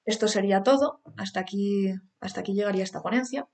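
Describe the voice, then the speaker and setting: A woman's voice: mid-range voice, quick pace, creaky, darkish tone. Woman giving a hesitant academic talk. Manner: hesitant, with fillers and restarts while explaining. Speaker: academic presenter. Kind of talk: conference talk reading quotations.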